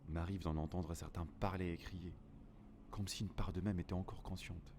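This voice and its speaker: A man's voice: sits low, steady tone, moderate pace, background noise, natural voice. A man playing a lonely, grieving survivor of a plant apocalypse, narrating a first-person audio drama in a somber, melancholic, dramatic way. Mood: mournful, wistful.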